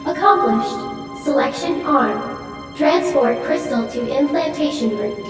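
A female computer in a science fiction radio play, issuing clipped procedural commands. The voice is high and slow, drenched in heavy echo over loud music. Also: recorded from a distance.

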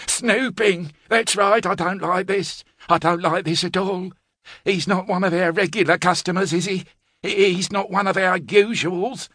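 A high, fretful older woman who runs an orphanage laundry, full of wailing dread and melodrama, as a male narrator puts her on. Her voice is pitched far up and sounds close and dry, with no room around it. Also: fairly even intonation, a little slow, a bit bright, no echo. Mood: panicked.

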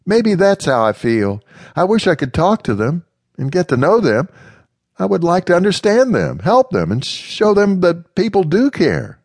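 A man voicing a devout, timid young woman who speaks softly and hesitantly. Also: high voice, wildly swooping pitch, moderate pace, no echo, studio quality, pitched above the performer's own voice, heavily acted. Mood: earnest. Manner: hesitant, soft-spoken.

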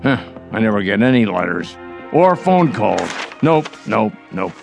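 Gravelly, grumbling old grouch. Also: male voice, fairly low pitch, sing-song, unhurried, music in the background, full character voice. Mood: sullen grumbling. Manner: grumbling, muttering, repeats himself. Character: grouchy, bitter elderly man in a rest home. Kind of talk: radio drama acting.

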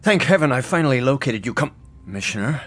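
An actor playing a nervous, agitated clubman in fear, speaking haltingly in an audiobook drama. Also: medium pitch, big pitch swings, fairly bright tone. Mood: flustered. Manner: nervous, halting, jerky sentences. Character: frightened, agitated society clubman under threat. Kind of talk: full-cast audiobook acting.

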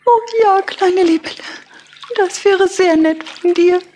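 A woman's voice: very high-pitched, fairly even intonation, unhurried, darkish tone, slight background noise. A woman voicing a tiny, timid and grateful female fly in a children's audiobook, earnest and sweet. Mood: grateful, relieved. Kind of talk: children's audiobook character acting.

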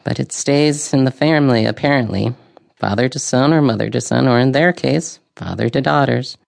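An actress playing a sharp, gossipy old woman in an audio drama, with a gravelly voice and a knowing, dryly opinionated way of talking. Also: rumbling low, normal speed, full character voice. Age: older.

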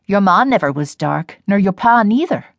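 A woman voicing a gruff, suspicious old grandmother who drawls in a slow, folksy way. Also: medium pitch, lively intonation, no echo, muffled recording, lightly acted. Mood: astonished.

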